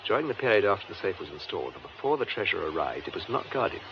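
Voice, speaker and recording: An actor playing a composed, methodical English police superintendent in a radio drama, with measured, formal, precise delivery. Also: male voice, sits low, sing-song. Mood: grave, narrating. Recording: muffled lo-fi radio drama, faint noise.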